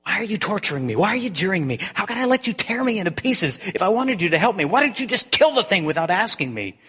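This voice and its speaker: A man's voice: medium pitch, fast, big-room sound, natural voice. A man voicing a frightened, peevish male ghost who talks in a frantic, fretful way in a storybook reading.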